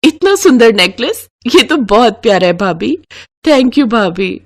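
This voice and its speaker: A woman's voice: on the high side, very animated, unhurried, exaggerated voice. Woman as a sweet young daughter-in-law. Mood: delighted.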